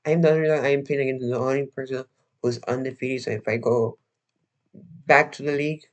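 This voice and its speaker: A young man hosting a casual livestream, slightly hoarse and losing his voice, talking in a rambling, halting way full of restarts and fillers. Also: medium pitch, fairly even intonation. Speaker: livestream host.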